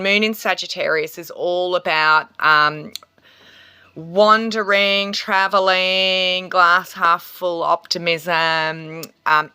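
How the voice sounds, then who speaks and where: A chatty Australian woman talks through an astrology reading in a bright, forceful voice that sits a little low. The sound is dry and close. She rambles along with ums and small laughs. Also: smooth, dry recording. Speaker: spiritual healer and tarot reader. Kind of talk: casual astrology talk to camera.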